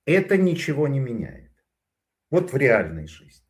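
A man's voice: mid-range voice, sing-song, moderate pace. A man giving casual spoken commentary, telling stories in a digressive way with frequent hesitations.

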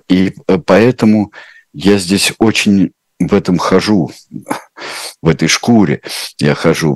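Very deep-voiced man, hesitant and thoughtful. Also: a little mellow, dry recording. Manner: hesitant, thoughtful, heavy with filler sounds. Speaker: translator answering viewer questions. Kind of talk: unscripted reflective answers.

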